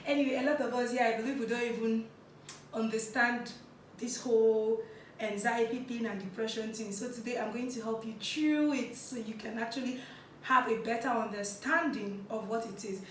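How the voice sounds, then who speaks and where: Girl in her 20s vlogging and munching snacks, kinda high voice, really echoey room. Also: steady tone, normal speed, far from the mic, a little hiss or hum. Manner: chatty, giggly, talks between crunchy bites. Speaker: vlog host. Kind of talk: casual talk while eating on camera. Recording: echoey room, set back from the mic.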